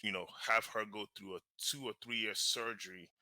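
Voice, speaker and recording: A man from Nigeria tells his life story as an interview guest, with a lower voice and a strikingly bright, forceful tone. He talks casually and animatedly, full of fillers and restarts. The sound is dry and close. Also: fairly even intonation, normal speed, no echo. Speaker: guest, real estate investor and author.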